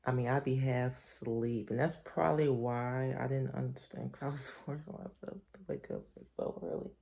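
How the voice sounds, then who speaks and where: A sleepy woman with a deep voice, chatting to her camera at home in a rambling, reflective way. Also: fairly even intonation, moderate pace, creaky. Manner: reflective, rambling, soft-spoken. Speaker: vlogger. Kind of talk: casual chat to camera. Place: top-floor apartment at home. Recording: echoey room.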